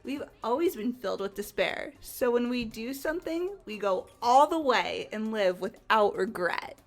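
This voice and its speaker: A woman's voice: a little high, lively intonation, moderate pace, faint music behind. A woman voicing a callous teenage mastermind of despair with a flippant, mocking manner in a fan-acted video game. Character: gleefully callous female teen mastermind of despair. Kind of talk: amateur voice acting of a video game.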